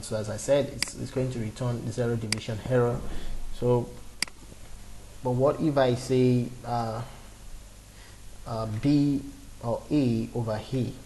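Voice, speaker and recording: A man's voice: medium pitch, warm tone, a little hiss or hum, low-quality recording. A man in his twenties teaching a beginner programming lesson off the cuff, talking through each step with fillers and restarts. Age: 20s. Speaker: programming tutor. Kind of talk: screen-recorded coding lesson.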